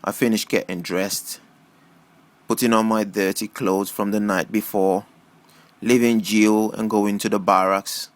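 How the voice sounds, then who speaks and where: A man's voice: on the low side, little pitch movement, a little slow. A man reading a first-person novel aloud as scripted audiobook narration. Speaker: audiobook reader.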